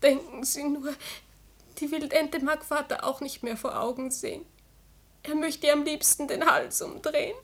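High-voiced, tender teenage girl. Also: fairly even intonation, moderate pace. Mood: sad, earnest. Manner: childlike, earnest, emotional. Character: tender, anxious teenage daughter, innocent and devoted. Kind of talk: radio play acting.

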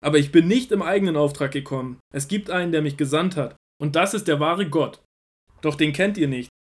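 A man playing an earnest, commanding male teacher preaching in an audio drama, declamatory and deliberate. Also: fairly high pitch, close to an everyday voice. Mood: insistent.

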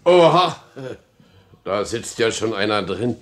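A very deep, slow-moving voice that swings up and down, an old prisoner who is submissive and plain-spoken. The delivery is that of a 1950s German radio play. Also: male voice, big pitch swings. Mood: resigned. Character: old, submissive male convict cleaning prison cells.

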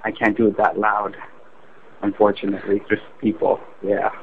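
A young man with a somewhat low voice talks over a tinny phone connection. He speaks haltingly, asks questions and repeats himself. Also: level tone. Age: 20s. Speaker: prank call target. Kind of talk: casual phone chat. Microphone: telephone. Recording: noisy, tinny phone line.

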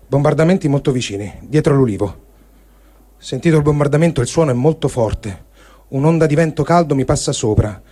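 A man reading diary entries aloud in a grave, measured way. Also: mid-range voice, mellow, slightly rough. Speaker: reader.

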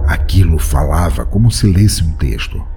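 Deep dark male horror narrator. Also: animated, normal speed, faint music behind. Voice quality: dramatic, tense storytelling. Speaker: narrator and podcast host. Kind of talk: first-person horror narration.

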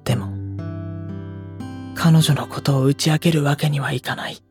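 A woman playing a gentle, diffident high school boy with a boyish voice in an anime audio drama. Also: steady tone, moderate pace, over music, natural voice. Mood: pensive narration. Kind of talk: anime audio drama dialogue and inner monologue.

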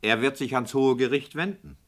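A man's voice: medium pitch, normal speed, fairly bright tone, natural voice. An actor playing a man who questions the story and objects to the injustice. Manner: inquisitive, indignant. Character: male listener questioning the story. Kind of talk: radio drama acting.

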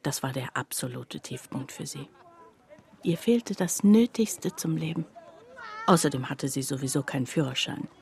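An actress playing a reflective woman who narrates a radio drama about a destitute friend in a confiding, deliberate way, with a husky, breathy voice. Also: rumbling low, crowd noise. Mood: troubled, reflective. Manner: confiding, deliberate, addressing the listener directly. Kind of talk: intimate radio drama monologue.

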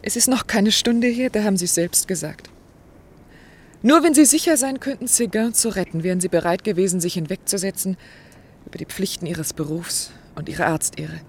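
An actress playing an anguished, dutiful clinic worker in love in a German radio drama, earnest, formal and restrained. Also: female voice, mid-range voice, moderate pace, darkish tone, slight background noise, lightly acted. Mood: wistful, hurt. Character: anguished, resigned, dutiful woman working at a clinic, in love with a doctor.

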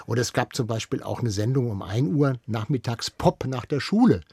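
A man's voice: medium pitch, expressive, normal speed, a little mellow, slightly rough, dry recording. An older man, a radio veteran, explains things conversationally as an interviewed expert, often correcting himself as he thinks aloud.

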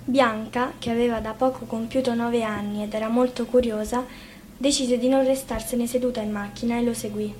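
A woman's voice: fairly high pitch, fairly even intonation, moderate pace, darkish tone, slight background noise. A woman reading a scripted fairy tale as a storyteller.

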